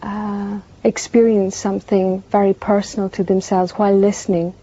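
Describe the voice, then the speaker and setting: Soft-spoken Irish woman, hesitant. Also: mid-range voice, little pitch movement, moderate pace. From northwest Ireland. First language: Irish Gaelic. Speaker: musician guest. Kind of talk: interview answers. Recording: dull lo-fi broadcast tape.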